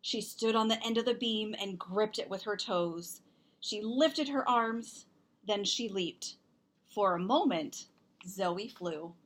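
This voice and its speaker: A woman reading a children's picture book aloud, expressively and deliberately, with emphasized words. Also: mid-range voice, fairly bright tone. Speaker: storybook reader and host.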